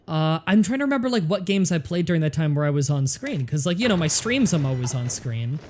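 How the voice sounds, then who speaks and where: A man in his twenties, loud and self-deprecating, swearing freely while commentating a strategy game with a friend. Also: on the high side, very animated, quick pace. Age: 20s. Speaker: gaming commentator. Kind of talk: casual gameplay commentary chat.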